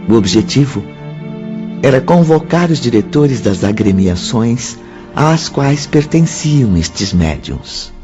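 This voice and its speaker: Slow, expressive Brazilian male narrator. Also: mid-range voice. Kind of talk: scripted radio drama narration. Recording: dull lo-fi audio under loud music. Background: music.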